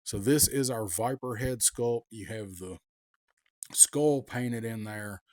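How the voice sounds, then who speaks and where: A man with a cold, raspy, giving a casual, rambling toy review with many ums and restarts. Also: on the low side, slow, a little mellow, no room sound, clean studio sound.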